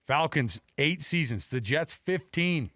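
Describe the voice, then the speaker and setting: American guy hosting sports radio, super animated up and down delivery, dry studio sound, chatty and casual. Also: fairly high pitch, a little slow, no echo.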